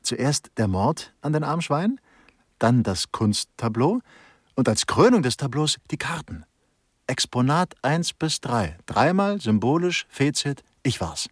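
An eager male sleuth in a radio crime satire, throwing out clipped, emphatic phrases. The husky voice swings very widely in pitch, recorded dry. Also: very animated, a little slow, no echo. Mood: eager, deducing. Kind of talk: grotesque radio crime play.

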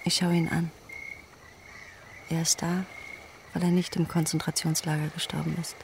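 An actress playing an anguished woman waiting for her husband, in a measured, introspective radio drama monologue. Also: low-pitched, little pitch movement, creaky, a little hiss or hum. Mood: brooding. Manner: measured, introspective, short fragmented sentences. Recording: music behind the voice.

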